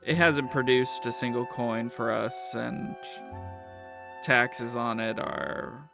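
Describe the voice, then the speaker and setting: A man plays a suspicious warden in a fantasy tabletop game. He is curt and openly hostile, with an unhurried, mid-pitched voice over faint music. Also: lively intonation, gravelly. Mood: dismissive. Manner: curt, challenging. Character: suspicious, blunt, hostile male prison warden.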